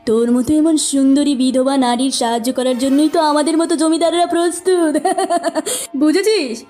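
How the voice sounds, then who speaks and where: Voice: high voice, fairly even intonation, moderate pace, dark tone, natural voice. An actor voicing a lecherous, bullying village landlord in a cartoon, leering and threatening, with music behind. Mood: smug, leering. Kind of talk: Bengali cartoon voice acting. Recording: music bed under voice.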